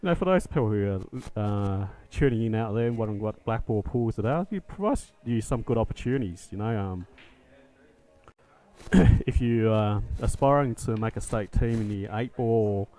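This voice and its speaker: An Australian man co-commentating a pool match, relaxed and talkative. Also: fairly low pitch, moderate pace, rough recording. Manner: relaxed, talkative, a few fillers. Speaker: co-commentator and league organiser. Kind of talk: live sports commentary.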